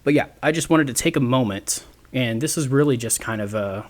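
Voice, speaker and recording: A man's voice: medium pitch, brisk. A man of twenty-nine hosting a solo podcast, talking in a rambling, self-deprecating way with many restarts. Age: 20s. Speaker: podcast host. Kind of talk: casual solo podcast monologue.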